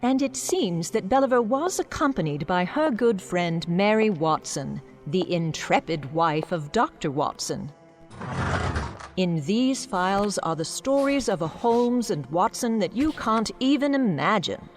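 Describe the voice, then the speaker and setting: A woman's voice: mid-range voice, lively intonation, measured pace, faint music behind, natural voice. A woman playing a mysterious guide, narrating a radio drama in a theatrical storytelling manner. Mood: mysterious, inviting. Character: mysterious female guide narrating dark tales from a crypt.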